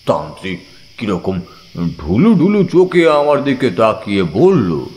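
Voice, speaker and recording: A man's voice: mid-range voice, big pitch swings, unhurried, darkish tone. A man giving a dramatic first-person narration of an audiobook story. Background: background music.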